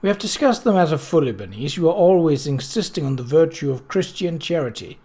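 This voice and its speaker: A man's voice: a little high, lively intonation, a little fast, mellow, breathy edge. A man voicing a composed upper-class lady in a storybook, mild but firm and lightly ironic. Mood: reproving.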